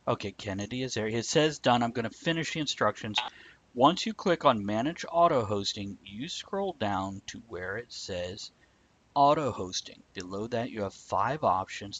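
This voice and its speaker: A man's voice: fairly low pitch, expressive, moderate pace, fairly bright tone, breathy edge. A man hosting a livestream with casual, wry, joking banter and informal step-by-step explanations. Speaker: livestream show host. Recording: dull lo-fi livestream audio.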